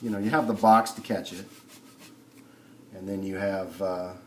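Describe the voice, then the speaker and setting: A man thinking aloud in an unhurried way as he gives a casual hands-on tutorial at a workbench. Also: low voice, steady tone, a little mellow. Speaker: hobbyist demonstrating a restoration. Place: indoor home workshop. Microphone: camera on the workbench, speaker off-screen. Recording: noisy camera audio, background noise.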